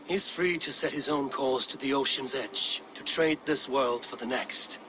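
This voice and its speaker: Man voicing viking leader, calm, firm, mid-pitched, counseling tone. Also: measured pace, background noise, natural voice. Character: steady male Viking clan leader. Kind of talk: video game voice acting.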